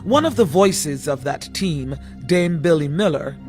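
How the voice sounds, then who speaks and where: A man's voice: on the high side, animated, a little slow. A man reading scripted narration for a political campaign. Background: music.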